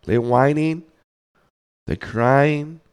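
Slow, hoarse man ranting about sports. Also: medium pitch. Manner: blunt, scolding, repeats words while thinking. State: hoarse, getting over the flu. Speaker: podcast host. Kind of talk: opinionated sports rant.

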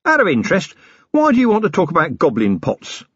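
A man voicing a rough, ruthless older businessman who talks with blunt, matey working-class bluster in an audiobook. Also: fairly high pitch, huge pitch swings, a little fast, no echo, muffled recording, pitched above the performer's own voice, exaggerated voice. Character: rough, ruthless older male scrap-and-muck tycoon. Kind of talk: audiobook character voice.

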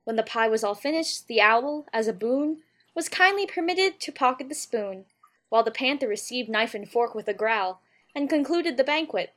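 A woman's voice: on the high side, expressive, moderate pace, fairly bright tone. A woman playing a polite, puzzled young girl with a proper, earnest delivery in an audiobook. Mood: uncertain reciting. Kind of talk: full-cast audiobook character acting.

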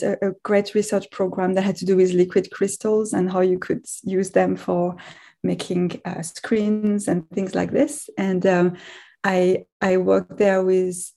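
Gravelly woman, mellow. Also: medium pitch. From France. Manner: halting, frequent restarts and self-corrections. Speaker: guest physics professor. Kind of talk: casual podcast interview.

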